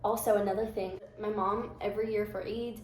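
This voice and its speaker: A young American woman chatting casually, talking candidly and reflectively in a soft-spoken way. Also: medium pitch, little pitch movement, brisk, echoey room, a little hiss or hum, poor audio. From United States. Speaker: guest. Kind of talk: casual chat.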